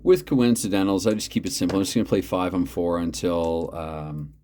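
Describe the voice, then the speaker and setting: A man hosting a tabletop hockey replay at home, mixing mock play-by-play with chatty, thinking-aloud dice math in a loud voice he keeps reined in. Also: deep voice, fairly even intonation, fairly quick, slight background noise. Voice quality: loud voice, holding back. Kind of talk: mock play-by-play commentary between dice rolls.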